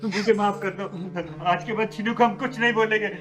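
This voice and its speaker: A man's voice: high-pitched, steady tone, fairly quick, a bit bright, soft background music. A man acting a greedy, cowardly older father-in-law, apologetic and placating, in a melodramatic short film. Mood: pleading. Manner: wheedling, apologetic.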